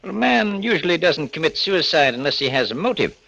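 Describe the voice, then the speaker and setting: A matter-of-fact medical examiner in a vintage radio mystery. He is assured and businesslike, with a bright, rather high voice. The old recording is a little muffled. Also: sing-song, a little fast, vocal fry, exaggerated voice. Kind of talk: 1940s radio drama acting.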